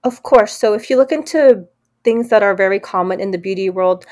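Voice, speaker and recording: A woman's voice: medium pitch, a little fast, a little mellow. A young woman from Pennsylvania, an esthetician and podcast guest, giving thoughtful, articulate answers in an interview. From Pennsylvania, United States. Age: young adult.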